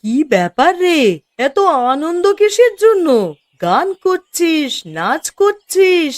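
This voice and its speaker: Woman acting an expressive, high-voiced village mother. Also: slow pace, full character voice. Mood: excited, curious. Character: emotional village mother and neighbour wife, middle-aged. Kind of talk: Bengali moral-story cartoon voice acting.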